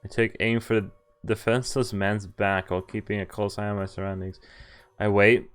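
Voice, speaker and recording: A man's voice: on the low side, flat delivery, moderate pace, clean tone. A man streaming a game, reading visual novel text aloud and adding casual, joking commentary. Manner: amused, joking asides. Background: game music.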